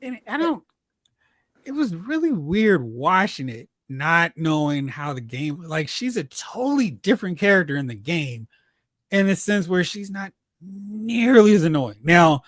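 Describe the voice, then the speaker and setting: A host chatting casually about pop culture, retelling reactions in slangy, animated asides. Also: mid-range voice, a little slow, dry recording, clean studio sound. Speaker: host of a film and TV discussion show.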